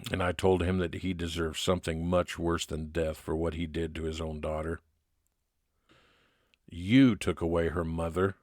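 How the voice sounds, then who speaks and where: A man playing a level-headed, determined 1950s private investigator, narrating in a measured old-time radio detective style. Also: deep voice, no room sound, clean studio sound. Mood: grim, angry.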